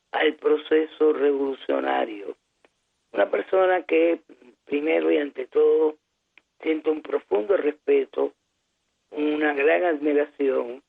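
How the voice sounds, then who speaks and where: A woman hosting a political talk show, speaking in a measured, formal way with frequent "eh" pauses. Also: low-pitched, gravelly, slightly rough. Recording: tinny phone-like broadcast audio.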